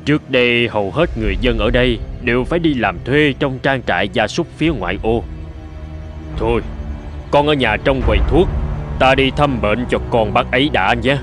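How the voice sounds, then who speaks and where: A man voicing a grave older gentleman physician and mentor in a dubbed cartoon, speaking in a measured, formal, earnest way. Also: medium pitch, over music, lightly acted. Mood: calm, explaining.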